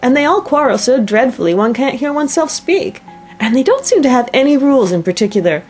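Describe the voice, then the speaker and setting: Storybook girl heroine, adult female reader: curious, plucky, easily indignant, earnest, raised pitch. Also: sing-song, a little fast, darkish tone, soft background music. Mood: complaining. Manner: earnest, complaining.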